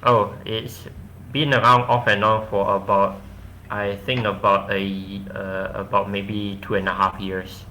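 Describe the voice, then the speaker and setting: A man answering questions after his own tech presentation over a video call, explaining haltingly with frequent restarts. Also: on the low side, little pitch movement, bright, forceful tone, slight background noise, low-quality recording. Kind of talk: casual tech talk Q&A.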